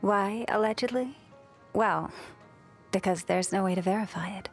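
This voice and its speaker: A woman voicing a gentle, serene moon priestess in a video game, speaking softly and soothingly with a reverent manner. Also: mid-range voice, faint music behind, close to an everyday voice. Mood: calm, reflective. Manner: soft, soothing, unhurried, reverent. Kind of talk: scripted video game character voice lines.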